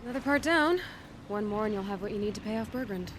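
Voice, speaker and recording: A woman playing a calm, kind and capable young huntress in a video game cutscene. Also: medium pitch, fast, lightly acted. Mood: calm, friendly. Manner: calm, direct, sympathetic. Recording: music behind the voice.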